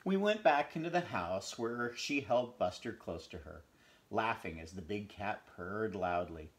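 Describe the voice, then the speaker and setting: A man in his fifties reads a children's story aloud. His fairly low voice swings up and down expressively as he tells it, recorded in a small room at home. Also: unhurried, slight background noise. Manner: animated storytelling with asides to the listener. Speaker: storybook reader.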